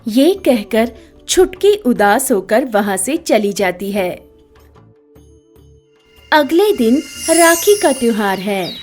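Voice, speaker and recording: High, lively, unhurried woman storyteller. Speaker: storyteller narrator. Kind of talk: scripted children's story narration. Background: background music.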